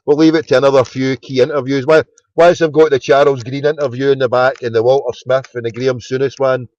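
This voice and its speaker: A man's voice: medium pitch, level tone, quick pace, darkish tone, muffled recording. A Scottish man hosting a casual phone-in, chatty and sweary, often repeating his words. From Scotland. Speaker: phone-in host.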